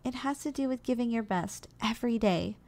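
A woman playing a gentle, doting giantess secretary in an ASMR role-play, coaxing and nurturing in manner. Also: a little high, a little fast, close to an everyday voice. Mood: caring, supportive. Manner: soft, coaxing, nurturing, teasingly affectionate. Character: gentle, doting giantess secretary caring for her shrunken boss.

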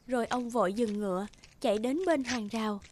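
A woman's voice: on the high side, steady tone, brisk, a little mellow, slight background noise. A woman narrating a scripted fairy tale as the storyteller.

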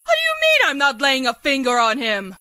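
Woman acting an excitable, swooping mad female scientist. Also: high-pitched, brisk, slight background noise, full character voice. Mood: manic, thrilled. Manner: excitable, theatrical, swooping delivery. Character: manic, obsessively curious female military scientist. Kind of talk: amateur audio drama acting. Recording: dramatic music under the voice.

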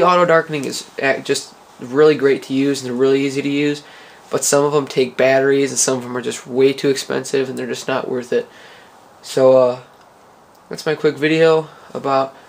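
Gravelly guy in his garage, pretty flat delivery, lots of uhs. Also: mid-range voice, normal speed. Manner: relaxed, many uh fillers. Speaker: hobby welder showing gear. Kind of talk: casual how-to vlog. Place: garage workshop with concrete floor.

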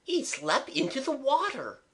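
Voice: high voice, wildly swooping pitch, measured pace, a bit bright, pitched above the performer's own voice, exaggerated voice. A rapt young working-class maid from a storybook, as a narrator puts her on. The voice sits well above the reader's own, with colloquial, unschooled phrasing and open wonder. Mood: rapt, delighted.

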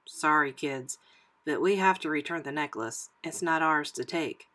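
Kindly storybook father dog played by a woman, gentle, fatherly, quietly firm. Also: low voice, normal speed, voice pushed down. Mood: troubled, gentle.

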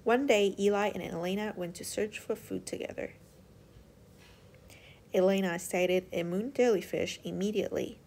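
A woman's voice: medium pitch, steady tone, normal speed, darkish tone. A woman storyteller reading a children's picture book aloud as scripted narration.

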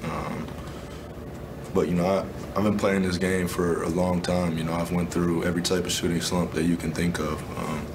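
Young basketball player at a postgame presser, deep flat voice, says you know a lot, noisy feed. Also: male voice. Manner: low-key, measured, frequent "you know" and "um". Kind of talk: postgame press conference answers. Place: press room.

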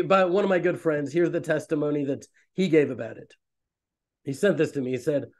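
A man in his thirties, a rabbi hosting an online fellowship, talking in a loose, rambling, animated way on a webcam from a small room. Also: fairly high pitch. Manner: rambling, animated, self-interrupting. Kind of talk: casual online talk to a community. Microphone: webcam on a video call.

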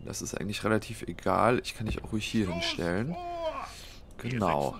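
A man's voice: on the low side, lively intonation, moderate pace, noisy background. A man with a cold, casually thinking aloud while playing a strategy game, with lots of fillers. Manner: thinks aloud, many fillers, plans moves step by step. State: has a cold. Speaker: let's play host. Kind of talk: casual gameplay commentary.

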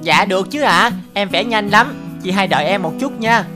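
A woman's voice: medium pitch, expressive, normal speed, over music, lightly acted. A woman voicing an eager, cheerful little boy in a cartoon, with a childlike manner. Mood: eager, proud. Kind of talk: cartoon voice acting.

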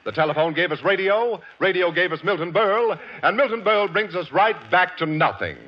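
A man with a formal, booming announcer's delivery introduces the cast and trades lines with the star. His voice is a touch high and swings widely, heard through a muffled vintage broadcast with an orchestra playing. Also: animated, fairly quick, very noisy. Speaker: radio announcer. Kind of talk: 1940s radio announcing and straight man. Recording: dull old radio broadcast with music.

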